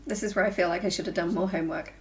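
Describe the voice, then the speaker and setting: A woman's voice: on the low side, fairly even intonation, racing. A woman who is an author chatting casually on a livestream, enthusiastic, informal and jokey. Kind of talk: casual chat.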